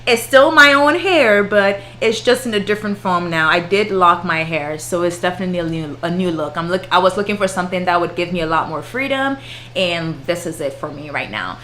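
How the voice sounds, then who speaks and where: Bright-voiced woman chatting live. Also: on the low side, animated, brisk. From Ghana. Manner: chatty, greets viewers by name, laughs often. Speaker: flight attendant and YouTuber. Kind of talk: casual livestream chat with viewers.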